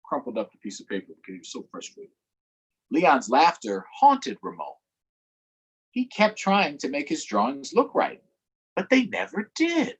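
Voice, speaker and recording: A man with a slightly rough, husky voice hosts a children's storytime. His pitch moves up and down freely as he reads aloud and speaks straight to his young audience. The delivery is expressive and conversational. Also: moderate pace, low-quality recording. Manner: addresses young viewers directly. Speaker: storytime host, councilmember. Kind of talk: children's read-aloud and live chat.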